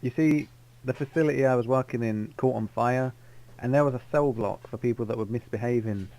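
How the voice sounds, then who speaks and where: A man's voice: medium pitch, brisk, a little mellow, low-quality recording. Man rambling through an in-character story. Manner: rambling, self-correcting storyteller. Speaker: roleplay player. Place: online game voice chat.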